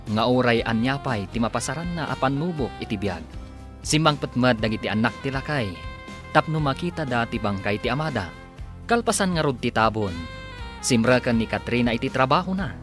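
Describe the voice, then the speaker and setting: Guy announcing a radio drama, mid pitch, really lively swoops, music playing behind. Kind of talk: dramatic scripted narration. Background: music bed.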